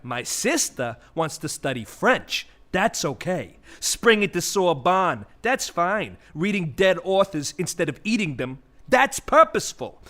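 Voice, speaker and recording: A man's voice: high voice, sing-song, a little slow, few pauses, sharp tone, heavily acted. A man playing a bitter, sarcastic vulture with thwarted ambitions, ranting theatrically in a comic audio play. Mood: aggrieved ranting.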